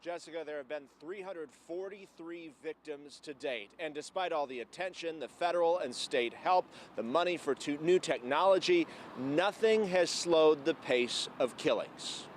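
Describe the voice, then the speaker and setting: Higher-voiced male TV news reporter. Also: moderate pace, slight background noise. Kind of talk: live broadcast news report.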